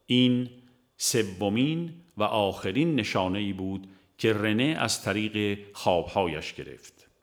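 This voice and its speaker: A man with an Iranian Persian accent reads a religious audiobook in a measured, formal, literary manner. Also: fairly low pitch. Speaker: audiobook narrator. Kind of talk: scripted narration of a religious book.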